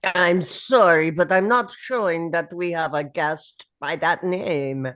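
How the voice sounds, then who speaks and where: A woman's voice: lowish voice, slow, fairly bright tone. A woman reading the part of a polite, apologetic hotel receptionist in a screenplay table read.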